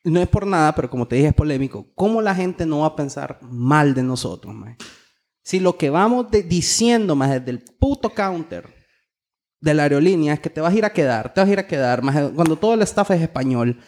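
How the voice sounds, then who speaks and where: A Honduran man with a fairly high voice co-hosts a casual podcast. He talks in local slang and swears freely as he chats. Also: expressive, fairly quick, darkish tone. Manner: profane, slangy. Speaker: podcast co-host. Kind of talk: casual chat.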